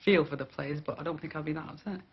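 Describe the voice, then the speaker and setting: Quick, husky-voiced British man. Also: on the high side, fairly even intonation. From Britain. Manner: thoughtful, measured, with hesitations. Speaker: interviewee, football fan. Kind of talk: reflective interview answers. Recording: dull 1980s documentary audio.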